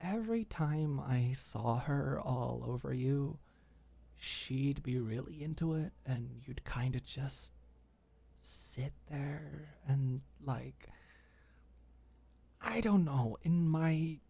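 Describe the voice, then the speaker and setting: A woman playing a frank, caring young woman who gives careful, halting advice in an intimate audio drama. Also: very deep voice, gravelly, warm tone, husky, heavily acted. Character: Alicia, frank, caring, tentative young woman advising a friend.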